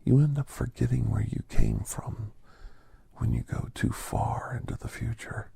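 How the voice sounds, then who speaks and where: Man acting as gentle reflective doctor, ASMR storyteller, very husky breathy whisper, dry close sound, deliberate pauses. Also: mid-range voice, dry recording, exaggerated voice. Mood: hushed, reverent. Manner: hushed, deliberate, with long pauses between short phrases. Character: gentle reflective male doctor telling a holiday alien story. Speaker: narrator of an ASMR story podcast.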